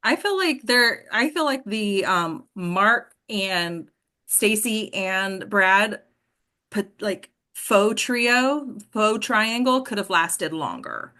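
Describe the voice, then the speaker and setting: A woman's voice: mid-range voice, expressive, slow, sharp tone. A woman acting coach hosting a casual discussion, explaining with emphasis and prompting the group with questions.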